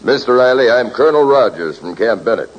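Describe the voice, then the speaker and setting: Actor as formal, husky-voiced army colonel. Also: male voice, mid-range voice, lively intonation, fairly quick, close to an everyday voice. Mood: cordial, earnest. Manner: formal, earnest. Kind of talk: 1940s radio comedy acting. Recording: muffled 1940s radio recording.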